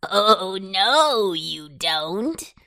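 A woman's voice: mid-range voice, very animated, drawn-out words, pushed and bright, no room sound, heavily acted. A woman voicing a cheeky male Halloween pumpkin in a children's storybook, with a thick, throaty voice, calling out airily. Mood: gleeful, airy. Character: runaway Halloween pumpkin, male, cheeky, airy, boastful ringleader.

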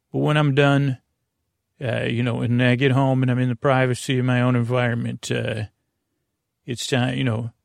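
A man hosting a sleep podcast in a long-winded, halting monologue that keeps drifting off topic, with a gravelly, creaky voice. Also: medium pitch, darkish tone, dry recording, studio quality. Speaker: podcast host.

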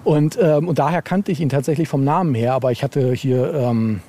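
A man's voice: mid-range voice, brisk, warm tone. A man chatting casually as an interviewed author, rambling and often tagging phrases with a questioning filler. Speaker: interviewed novelist. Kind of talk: casual podcast interview chat.

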